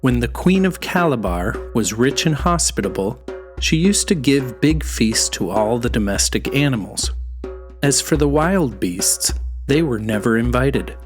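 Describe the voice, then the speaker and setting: Very animated, unhurried children's storyteller. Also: medium pitch, soft background music. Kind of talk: expressive scripted children's folktale narration.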